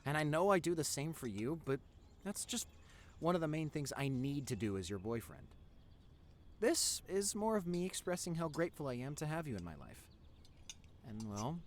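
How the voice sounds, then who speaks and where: Man playing a goofy nerdy boyfriend, animated voice. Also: on the high side, a little hiss or hum, natural voice. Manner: teasing, stammering when flustered. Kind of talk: intimate boyfriend audio roleplay.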